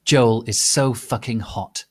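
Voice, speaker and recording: A man's voice: mid-range voice, takes it slowly. A man voicing a moody, melodramatic teenage goth girl in a story reading, sulky and emphatic. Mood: gushing, anxious.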